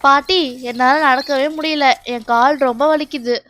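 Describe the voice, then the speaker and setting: A woman's voice: sits high, steady tone, moderate pace, fairly bright tone. A woman voicing a chatty, stubborn little girl duckling in a children's cartoon, with an emphatic, childlike, pleading manner. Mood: hurt, subdued.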